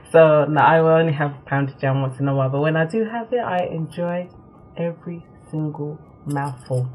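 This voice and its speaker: A young woman born in Nigeria talks casually to the camera, answering questions one by one. She fills her pauses with ums and laughs easily. A faint hiss sits under the recording. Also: sits low, rough recording. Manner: chatty, with many ums and quick laughs. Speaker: vlogger.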